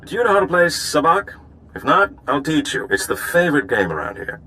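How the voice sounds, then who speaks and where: An actor playing a charming, worldly older gambler and informant in a video game, suave, genteel and self-assured. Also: male voice, on the high side, sing-song, a little fast, pushed and bright, low-quality recording. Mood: warm, jovial.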